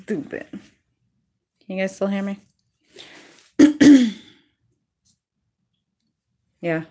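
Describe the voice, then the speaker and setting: A woman's voice: mid-range voice, animated, drawn-out words, warm tone. A woman from Massachusetts with a Worcester accent, chatting casually and bluntly on a live stream, swearing freely. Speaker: YouTuber hosting a live stream.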